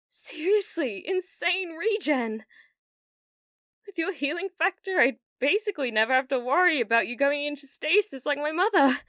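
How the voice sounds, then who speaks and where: A woman's voice: sits high, a bit bright, no room sound, studio quality. A British woman voicing a delusional, needy, obsessive young gamer in love, in an ASMR roleplay. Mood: excited, obsessive. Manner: breathy giggles, slow dreamy delivery with sudden intense bursts. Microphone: close studio-style mic, dry.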